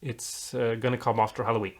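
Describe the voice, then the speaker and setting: DIY video host from Europe: informal, mid-pitched, encouraging, rambles through step-by-step instructions. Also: flat delivery, fast talker. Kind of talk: casual tutorial, talking viewers through a build.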